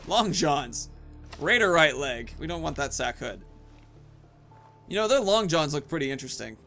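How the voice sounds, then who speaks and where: A man's voice: fairly high pitch, big pitch swings, normal speed, sharp tone, soft background music. A man in his thirties giving casual commentary as the host of a gaming video.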